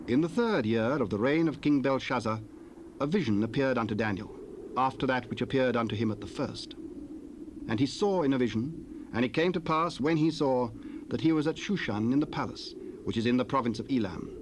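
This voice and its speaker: Male scripture narrator, steady and solemn, mid-range voice, noticeable cassette hiss. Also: medium pitch, brisk. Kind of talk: 1970s dramatized scripture narration. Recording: hissy cassette tape.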